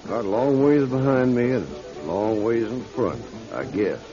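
An actor playing a rootless traveling stranger, laconic and folksy, in a radio drama. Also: male voice, lowish voice, animated, unhurried. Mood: guarded, casual. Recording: dull radio recording, music behind.

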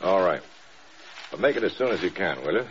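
An American man playing an authoritative, shrewd senior general in a mid-century radio drama, measured and emphatic. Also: sits low, expressive. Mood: probing, fatherly. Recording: muffled vintage radio with faint noise.

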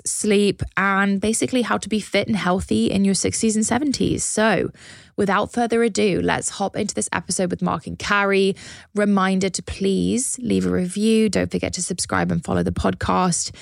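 Slightly hoarse, gravelly woman podcast host. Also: mid-range voice, dry recording. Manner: enthusiastic, chatty, with frequent "like". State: slightly hoarse, losing her voice. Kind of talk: casual podcast chat.

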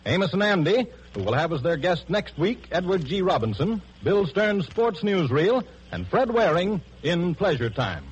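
A man's voice: sits high, sing-song, moderate pace, slight background noise. An American man making a formal announcement as a 1940s radio announcer. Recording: dull, husky-sounding 1940s radio recording.

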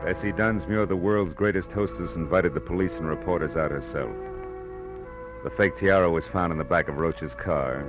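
An American actor playing a blunt, shrewd, world-weary private detective in a clipped, wry 1940s radio style. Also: male voice, low voice, normal speed, natural voice. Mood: wry, somber. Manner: clipped, tough, wry delivery. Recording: vintage radio recording with faint music.

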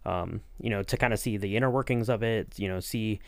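Low-voiced man hosting a casual podcast. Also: brisk, no echo. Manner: rambling, enthusiastic, peppered with "you know". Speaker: podcast co-host acting as interviewer.

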